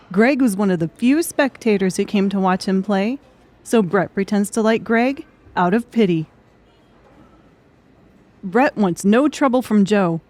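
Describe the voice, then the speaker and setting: A woman's voice: mid-range voice, darkish tone. A woman reading scripted fiction narration as an audiobook narrator.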